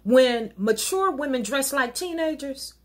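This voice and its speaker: A middle-aged American woman reciting rhyming spoken-word poetry like a minister, with a deliberate, emphatic, preacherly cadence. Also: sits high, animated. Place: wood-panelled living room at home.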